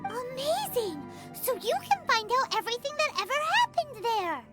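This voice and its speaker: A woman voicing a tiny, chirpy, childlike fairy companion who is nosy and excitable in a game. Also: very high-pitched, moderate pace. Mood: excited. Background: soft game music.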